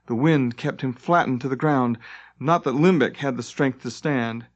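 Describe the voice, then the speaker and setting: A man reading scripted fantasy narration dramatically, as an audiobook narrator. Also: mid-range voice. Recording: dull lo-fi tape transfer.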